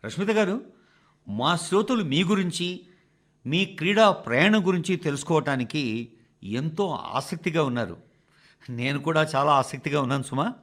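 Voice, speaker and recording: A man reading a scripted, formal radio address in speech, phrasing it in a measured, emphatic way with deliberate pauses. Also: on the high side, animated, fairly bright tone. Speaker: radio reader of a translated address.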